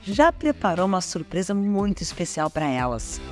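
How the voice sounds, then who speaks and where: A woman's voice: fairly low pitch, very animated, normal speed. A woman narrating a reality show in a chatty, affectionate way. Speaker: show host. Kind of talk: voice-over narration. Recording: voice-over with clear music beneath.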